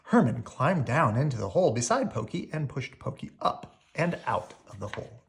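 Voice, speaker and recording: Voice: mid-range voice, sing-song, moderate pace. A storyteller reading a children's picture book aloud expressively, drawing out key words.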